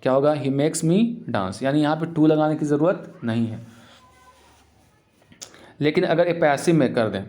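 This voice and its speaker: A man teaching English grammar for exams, explaining in Hindi and reading English example sentences out slowly. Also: fairly high pitch, dark tone. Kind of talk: exam-prep grammar lesson.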